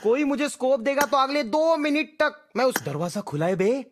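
A man's voice: very high voice, very animated, moderate pace, noisy background. A man giving playful, exclamatory scripted game callouts in street slang. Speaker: streamer recording game voice-pack callouts.

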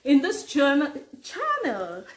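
A woman's voice: high voice, huge pitch swings, slow. A woman in her thirties whose first language is not English, introducing her vlog channel to camera from a living room, laughing often and talking expressively. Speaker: vlogger.

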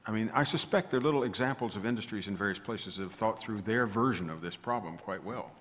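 Low-voiced man on a conference panel. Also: fast talker, fairly bright tone. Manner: thoughtful, digressive, with restarts. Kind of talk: panel discussion. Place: large conference hall. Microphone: table microphone. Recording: reverberant conference hall.

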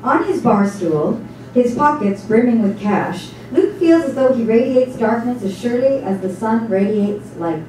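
A woman's voice: on the high side, lively intonation, unhurried, mellow. A woman narrating a literary text in a dramatic stage reading. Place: small indoor theatre stage before an audience. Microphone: distant camera microphone. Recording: echoey hall, distant microphone, faint noise.

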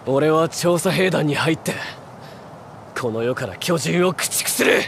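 A man's voice: fairly high pitch, expressive, measured pace, vocal fry, a bit bright, slight background noise, heavily acted. A man playing a fierce, hot-headed teenage boy soldier in an anime game, intense and emphatic, burning with vengeance. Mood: determined. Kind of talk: Japanese anime game voice acting.

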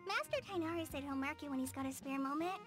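Woman voicing a shy, very high-pitched teenage girl. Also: fast, loud music behind the voice, natural voice. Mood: flustered, hesitant. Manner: hesitant, stammering, apologetic. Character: shy, earnest, eager teenage girl ranger trainee. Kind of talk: video game character dialogue.

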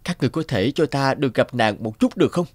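An actor playing an upright, loving young court official and husband in a cartoon folk tale, speaking earnestly and formally. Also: male voice, mid-range voice, lively intonation, quick pace, dry recording, close to an everyday voice. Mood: sorrowful resolve. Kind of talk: animated folk-tale dialogue.

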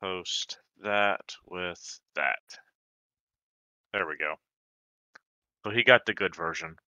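Low, bright-edged man, slow-paced. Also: dry recording. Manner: unhurried, thinking aloud. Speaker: card game player. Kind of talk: casual chat while playing an online card game.

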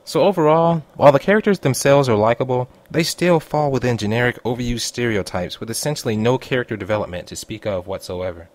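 A man's voice: medium pitch, fast talker, hardly any pauses. Man narrating a scripted review. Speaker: anime reviewer.

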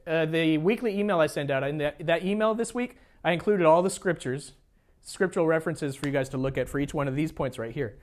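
An American man preaching as a pastor in a conversational teaching style, informal, repeating phrases for emphasis and slipping in joking asides. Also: sits high. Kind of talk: sermon, teaching a congregation conversationally.

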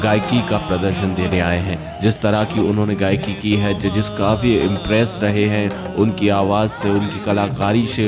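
A man's voice: on the low side, in a large hall. A man giving casual promotional commentary on a singing show as a video narrator, addressing viewers as friends. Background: music.

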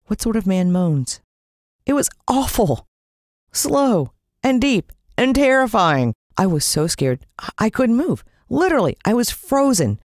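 A woman's voice: on the low side, a little mellow, no room sound, studio quality. A woman with an American accent narrating a story in a dramatic, suspenseful way, drawing out words. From United States. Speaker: audiobook narrator.

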